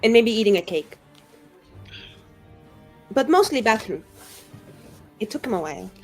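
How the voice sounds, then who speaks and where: A woman's voice: fairly high pitch, sing-song, a little slow, soft background music. Woman playing a tabletop game. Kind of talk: casual in-character play and narration.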